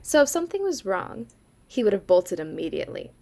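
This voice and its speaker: Woman playing eerie young woman, sardonic, dry deliberate horror storytelling, medium pitch, audio drama. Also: big pitch swings, gravelly, a little mellow. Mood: ominous, measured. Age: young adult. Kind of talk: student audio drama acting, spooky storytelling.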